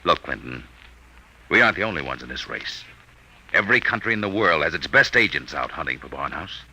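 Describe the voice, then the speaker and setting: An actor playing an urgent, persuasive army major with a commanding manner in a vintage radio drama. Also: male voice, very deep voice, expressive, a little fast, exaggerated voice. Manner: forceful, commanding. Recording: slightly noisy old radio recording.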